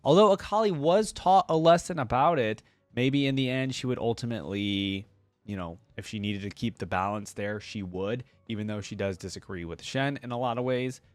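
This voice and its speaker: A man's voice: mid-range voice, moderate pace, no echo, soft background music. A man giving casual, informal commentary to viewers as a reaction host, rambling and thinking aloud. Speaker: gaming reaction YouTuber, host.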